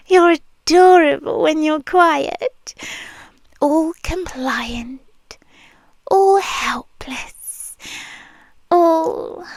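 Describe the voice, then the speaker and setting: A woman's voice: high-pitched, no room sound, heavily acted. A woman with a British accent voicing an obsessive, possessive young nurse in a whispered ASMR roleplay, speaking in an intimate, teasing murmur. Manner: slow, intimate, teasing purr with frequent whispers.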